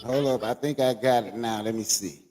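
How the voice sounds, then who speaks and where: A man's voice: mid-range voice, moderate pace, darkish tone, faint music behind. A man hosting a casual livestream, talking loosely into an old phone and checking whether his guests can hear him. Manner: repeatedly checking audio with guests, loose and conversational. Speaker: livestream host.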